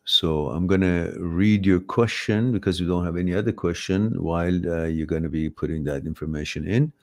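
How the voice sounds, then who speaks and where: A man's voice: low voice, moderate pace, a little mellow. A middle-aged man, a Farsi speaker, hosting a live advice stream and reading out viewer questions, rambling and often correcting himself. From Iran. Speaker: host.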